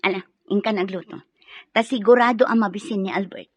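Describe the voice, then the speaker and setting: An actress playing a stern, scornful wife of the house in a radio drama, scolding and giving orders. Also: female voice, medium pitch, fairly even intonation, brisk, breathy edge, no echo, natural voice. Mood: commanding. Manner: scolding, cutting, commanding.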